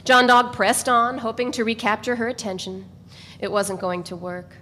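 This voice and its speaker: A woman's voice: a little high, steady tone, moderate pace, pushed and bright. A woman giving a dramatic reading of a novel as a first-person narrator. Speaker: reader of a novel. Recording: echoey hall, faint background noise.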